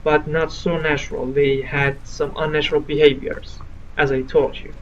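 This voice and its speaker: Halting man, distant mic. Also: a little high, flat delivery, lo-fi sound, a little hiss or hum. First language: Farsi. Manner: halting, searching for words. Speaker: gaming video host. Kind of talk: casual let's-play commentary.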